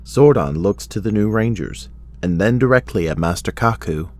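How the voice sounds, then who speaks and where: A man's voice: on the low side, lively intonation, normal speed, no echo. A man reading scripted dramatic narration as the narrator of an audio drama.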